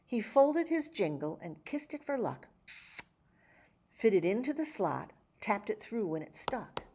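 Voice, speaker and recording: A woman reading a rhyming children's picture book aloud, with theatrical, measured phrasing and dramatic emphasis. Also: medium pitch, very animated, warm tone, slightly rough. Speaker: children's story reader. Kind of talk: expressive picture-book read-aloud in rhyme.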